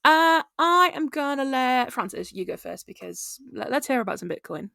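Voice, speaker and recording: A woman's voice: sits high, sing-song, moderate pace, creaky, sharp tone, no room sound, clean studio sound. A woman hosting a fintech news podcast, upbeat and enthusiastic as she introduces her co-hosts. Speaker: podcast host.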